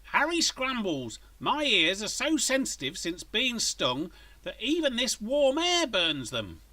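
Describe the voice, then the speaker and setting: Man putting on a high, exasperated storybook mum. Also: huge pitch swings, slow, sharp tone, heavily acted. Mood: indignant complaining. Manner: emphatic, fussy, theatrical. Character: exasperated, dramatic middle-aged mum, complaining housewife.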